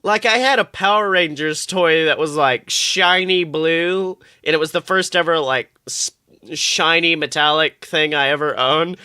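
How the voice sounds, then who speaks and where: A woman's voice: low-pitched, unhurried, smooth, no room sound, studio quality. A young woman co-hosting a comedic podcast, chatting casually, acting out quotes and swearing freely as she tells stories. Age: young adult. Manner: animated storytelling, swears freely, acts out quotes. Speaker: podcast co-host.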